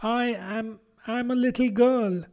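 A man's voice: high voice, animated, slow, a little mellow, voice pushed up. A man voicing a polite, earnest little girl who talks in a doubtful, truthful way, in an audiobook. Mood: protesting. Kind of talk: audiobook character voice.

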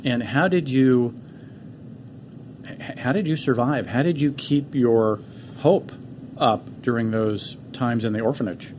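A man's voice: medium pitch, a little slow, darkish tone. A man hosting a podcast, interviewing a guest in an upbeat, encouraging way. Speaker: podcast host. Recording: faint background noise.

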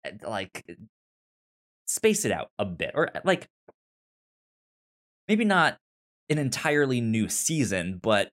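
A man co-hosting a podcast in casual conversation, with a stutter that repeats sounds and words and a halting delivery. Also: mid-range voice, very animated, fairly bright tone. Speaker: podcast co-host. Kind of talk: casual chat discussing a TV series.

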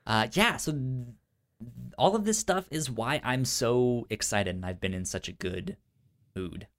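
A man co-hosting a casual podcast, speaking informally and haltingly with a stutter that repeats sounds and syllables. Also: mid-range voice, animated. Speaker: podcast co-host.

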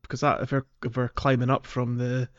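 A man's voice: mid-range voice, monotone, a little fast. Male co-host, mid-range voice, informal game talk, slightly lo-fi recording. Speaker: podcast co-host. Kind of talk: casual chat about video games.

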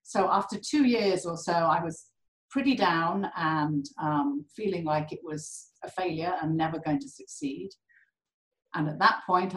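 A British woman telling candid stories in an interview, animated and quick to laugh. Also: on the low side, echoey room, poor audio. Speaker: core facility director. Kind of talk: candid conversational storytelling.

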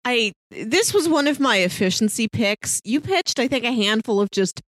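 A woman's voice: a little high, expressive, moderate pace, dry recording. A woman co-hosting a casual review podcast in a wry, playfully wordy way. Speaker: podcast co-host.

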